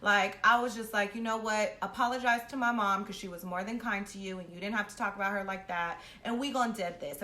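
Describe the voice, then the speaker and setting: A woman's voice: on the high side, sharp tone. A young woman telling a personal story casually and animatedly, with brash, slangy, heavily profane talk, acting out both sides of exchanges. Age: young adult. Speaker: host of a storytime series. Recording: roomy echo with faint background noise.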